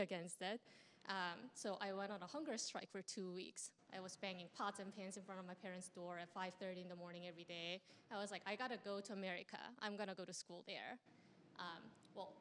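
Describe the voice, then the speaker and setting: A young woman from Korea tells her story animatedly, laughing as she goes, her handheld mic picking up a long room reverb. Faint background noise sits under her mid-pitched voice. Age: young adult. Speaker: startup co-founder on a panel. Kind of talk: storytelling on a stage panel. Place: large lecture room with an audience. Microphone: handheld microphone.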